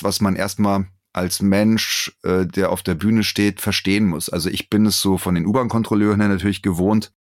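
A man with a low voice speaks in a very dry, close sound. He talks casually, like an interviewed stage performer, explaining things thoughtfully with pauses along the way. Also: no echo. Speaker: interviewed voice actor and live performer. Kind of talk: casual interview talk, anecdotes.

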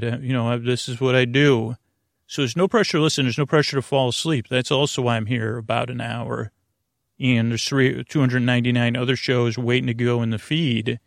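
A man hosting a sleep podcast in a meandering, halting bedtime monologue, full of restarts and whimsical, punning tangents, with a gravelly voice. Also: mid-range voice, dry recording, studio quality. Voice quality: creaky, gravelly. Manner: whimsical, punning, silly asides.

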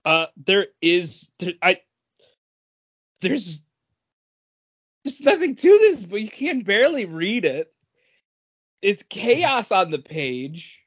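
A man co-hosting a podcast in casual chat, with an animated, teasing delivery. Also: high-pitched, takes it slowly, pauses often, husky, highs cut off. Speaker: podcast co-host.

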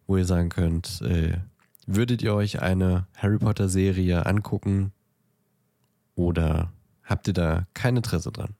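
A man's voice: low-pitched, creaky, dark tone, no room sound, clean studio sound. A man co-hosting a podcast in casual, teasing, mock-serious banter. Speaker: podcast co-host. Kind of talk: casual banter between co-hosts.

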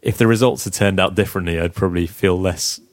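A young man hosting a casual podcast, his voice croaky, chatting, teasing and laughing often. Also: deep voice, steady tone, fast talker, no echo, studio quality. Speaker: podcast host. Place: flat.

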